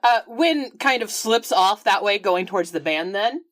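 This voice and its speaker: A woman's voice: mid-range voice, lively intonation, normal speed, a bit bright. Woman casually narrating her game character. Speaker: player in a tabletop roleplaying game. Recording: music playing behind the voice. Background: background music.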